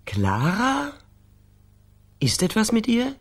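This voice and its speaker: A woman's voice: on the high side, huge pitch swings, slow, breathy edge, heavily acted. An actress playing a guarded, hesitant female office secretary under questioning in a radio crime drama. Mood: concerned, confiding.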